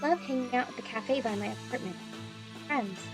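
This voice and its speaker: A woman's voice: on the high side, moderate pace, over music, close to an everyday voice. A woman voicing a young, wishful secret superhero heroine in a visual novel, chatty and dramatic. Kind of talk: visual novel character narration.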